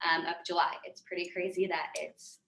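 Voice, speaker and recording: Bright, chatty woman host. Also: on the low side, level tone, fairly quick, gravelly. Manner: upbeat, chatty, frequent fillers. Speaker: event host introducing the speaker. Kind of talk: casual introduction. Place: classroom in a coworking space. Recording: echoey room.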